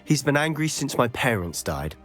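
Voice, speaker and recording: A man's voice: mid-range voice, very animated, moderate pace, fairly bright tone, soft background music. An actor playing a determined young wizard who speaks earnestly about saving his sister. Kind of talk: video game cutscene acting.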